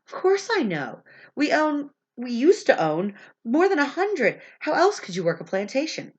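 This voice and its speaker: A woman's voice: high voice, very animated, moderate pace, breathy edge, pitched above the performer's own voice, full character voice. A woman voicing an impulsive, haughty young colonial woman in a storybook, impatient, with a stuffy voice. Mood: impatient, startled. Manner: incautious, impatient. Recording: dull, lo-fi sound.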